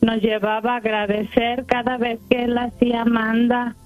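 Una mujer de México con acento mexicano llama a un programa de radio y habla de forma pausada y titubeante, muy agradecida. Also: female voice, on the high side, flat delivery, darkish tone, soft background music. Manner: slow, hesitant, grateful. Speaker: radio caller. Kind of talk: phone call to a radio show. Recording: tinny phone line.

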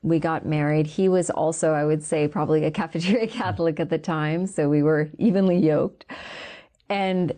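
A woman in her thirties from Canada, a guest giving an earnest faith testimony and slowing down to stress the words that matter to her. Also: low voice, steady tone, mellow.

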